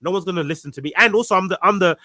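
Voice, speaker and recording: Earnest man, higher voice, repeating himself. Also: very fast, bright, forceful tone, no room sound, clean studio sound. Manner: earnest, repeats phrases for emphasis. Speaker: podcast guest. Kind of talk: reflective casual conversation.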